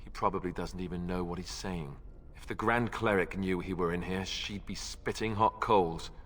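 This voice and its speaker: Deep-voiced matter-of-fact warrior companion. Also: male voice, fairly even intonation, normal speed, fairly bright tone. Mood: concerned, wry. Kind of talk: video game dialogue. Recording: long hall reverb, faint noise.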